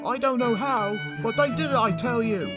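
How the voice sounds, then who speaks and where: A man's voice: extremely high pitch, normal speed. A man voicing an indignant, complaining talking vehicle in a children's railway tale, with music behind him. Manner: blustering, complaining.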